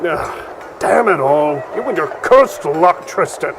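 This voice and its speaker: High-pitched, boisterous blacksmith. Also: male voice, lively intonation, takes it slowly, background noise. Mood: grumbling. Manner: hearty, jesting. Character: boisterous, grumbling male blacksmith, friendly rival. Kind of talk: fantasy audio drama acting. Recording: music behind the voice.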